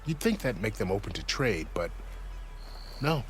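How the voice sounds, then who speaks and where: An actor playing a grave, courteous gate commander in a video game, speaking in a measured, formal manner. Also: male voice, lowish voice, sing-song, a little hiss or hum. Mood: measured, informative. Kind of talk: game cutscene dialogue.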